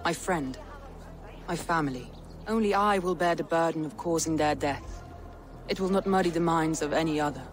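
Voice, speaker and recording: An actress playing a grave, resolute Viking clan leader in a video game, speaking in a measured, solemn way. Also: female voice, low voice, lightly acted. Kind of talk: dramatic video game cutscene acting.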